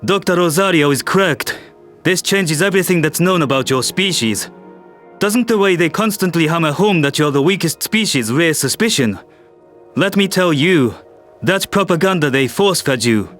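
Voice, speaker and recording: A firm, diplomatic statesman, played by a man speaks in a fairly high male voice. It is a sci-fi audio drama with quiet music beneath. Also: lively intonation, normal speed. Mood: firm, solemn. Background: faint music.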